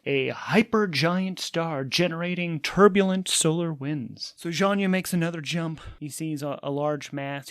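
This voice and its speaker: A man's voice: on the high side, clean tone, no room sound, clean studio sound. Man narrating a solo tabletop game. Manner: thinks aloud, alternating game mechanics and storytelling. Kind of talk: casual actual-play game narration.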